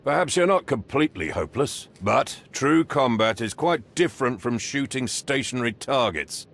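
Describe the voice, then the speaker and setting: A veteran police sergeant in a video game, stern and condescending. The voice is full of gravel and moves emphatically up and down as he gives gruff orders. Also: mid-range voice, expressive, normal speed, a bit bright. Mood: scornful.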